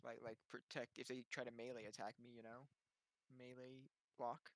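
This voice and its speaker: A man's voice: mid-range voice, level tone, normal speed, dry recording, poor audio. A man chatting casually as a player in a tabletop game, thinking aloud in a tentative way.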